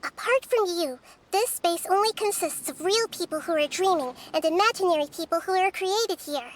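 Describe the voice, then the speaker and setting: A woman voicing a wise, perceptive young goddess in child form for a video game, speaking in a calm, measured way. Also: very high voice, a little hiss or hum. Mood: calm, probing.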